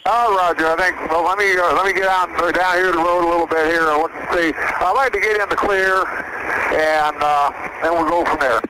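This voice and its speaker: Male private pilot, high-pitched, halting and shaken, heard through radio with clear aircraft noise. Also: fairly even intonation. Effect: aviation radio. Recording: very noisy cockpit radio transmission. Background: aircraft noise and static.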